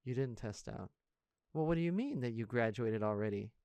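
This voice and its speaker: A man playing an earnest, caring high school senior in an intimate audio roleplay, speaking casually and haltingly to a best friend. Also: expressive, mellow, clean tone, no room sound, close to an everyday voice. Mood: serious, bewildered. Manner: casual, halting, stammering, conversational.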